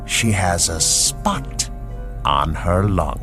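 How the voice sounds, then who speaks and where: An actor playing a menacing, coldly mocking man in a radio drama, speaking with deliberate, taunting, clinical precision. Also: deep voice, very animated, fairly bright tone, soft background music, heavily acted. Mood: quietly sinister.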